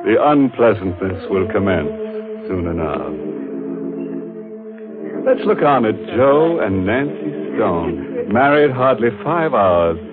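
A macabre radio horror host with a deep, leisurely delivery and wide, theatrical swings. He is mock-genial and relishes the grisly, in 1940s style. Loud music sits behind a thin, tinny old recording. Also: sing-song, slow. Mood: gleefully macabre. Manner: mock-genial, theatrical, savouring gruesome puns. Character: macabre, jovially sinister male horror-show host.

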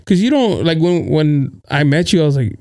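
A man's voice: fairly high pitch, lively intonation, moderate pace, gravelly, dark tone. A man whose first language is Spanish, hosting a podcast in casual, chatty conversation, laughing often and filling his talk with "like". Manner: chatty, laughs a lot, frequent fillers. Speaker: podcast host.

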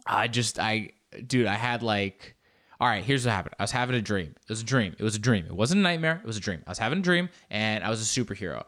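A man's voice: lowish voice, fast talker. A man in his late twenties telling a comedic story in a rambling, casual way, swearing often. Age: 20s. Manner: rambling, animated, swears a lot.

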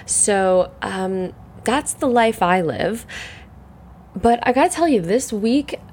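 A young woman hosting her solo podcast, chatting in a rambling way full of fillers, her voice croaky and congested from the morning. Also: mid-range voice, animated, takes it slowly, a little mellow, a little hiss or hum. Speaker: podcast host. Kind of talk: casual solo chat.

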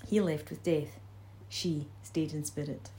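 A woman from Scotland reading a poem aloud in a measured, deliberate way. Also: low-pitched, fairly even intonation. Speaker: poetry reader. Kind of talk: poetry reading.

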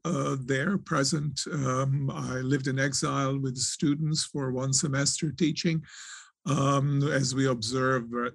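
A man, giving thoughtful, halting answers in an interview, often restarting his phrases as he searches for words. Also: medium pitch, vocal fry, a bit bright, no room sound. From Croatia. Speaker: author and theologian, interview guest.

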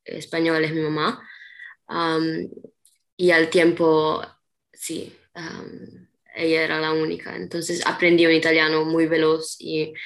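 A woman's voice: low voice, vocal fry. A young woman from Peru, a guest in a casual interview, who hesitates often with ums and restarts. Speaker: interview guest, artist's model.

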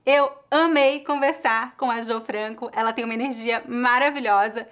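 A woman host who teaches languages, speaking in a fairly high voice. She sounds friendly and encouraging, often checking in with the listener as she talks to her guest and to her viewers. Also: fairly even intonation, moderate pace, a bit bright. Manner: friendly and encouraging, with frequent "né?" tags. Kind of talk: interviewing and closing a video.

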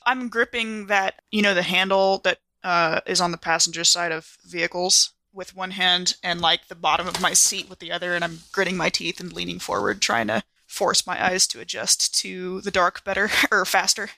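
A woman's voice: lowish voice, steady tone, normal speed, vocal fry, hardly any pauses, bright, forceful tone, dry recording. A woman playing a tabletop roleplaying game, casually describing what her character does.

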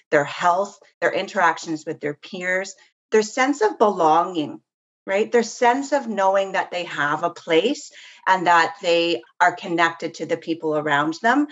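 A woman giving measured, earnest, professional explanations as an interview guest. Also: on the low side, fairly bright tone, lo-fi sound. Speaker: podcast guest, literacy program professional.

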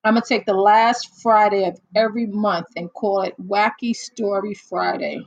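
A middle-aged woman hosting a casual livestream chat, rambling and chatty with lots of fillers and laughs, a little hoarse as she loses her voice. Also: medium pitch, fairly even intonation, normal speed, dry recording, highs cut off. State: losing her voice, slightly hoarse. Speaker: livestream host. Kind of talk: casual chat with live viewers.

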